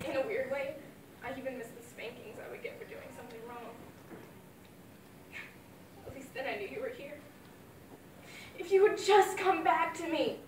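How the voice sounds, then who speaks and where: A young woman plays a grieving mother speaking to her late father, her high voice carrying heartfelt, wavering feeling. The sound is roomy and echoey, caught from a mic set well back from her. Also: distant mic, noisy background, close to an everyday voice. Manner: heartfelt, wavering between fond memories and anguish. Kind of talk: dramatic monologue.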